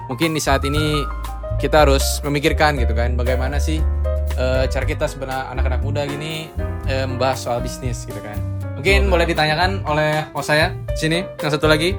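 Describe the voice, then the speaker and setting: A man's voice: on the high side, a little fast. A young man hosting a casual podcast, upbeat and full of agreeing fillers. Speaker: podcast host. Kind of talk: casual chat. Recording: music playing behind.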